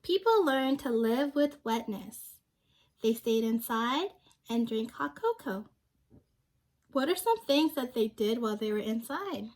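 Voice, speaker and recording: A woman's voice: a little high, lively intonation, measured pace, a little mellow. A young woman reading a storybook aloud to children, speaking simply and expressively and prompting her listeners with questions. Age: young adult.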